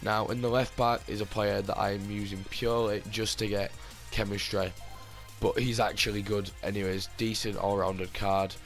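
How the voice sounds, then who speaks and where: A slightly hoarse man with a low voice talks through his team in casual gaming commentary. He rambles, circles back and restarts his sentences, and his delivery stays very flat. Faint music plays underneath. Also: moderate pace. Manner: rambling, with many fillers and restarts. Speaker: gaming channel host.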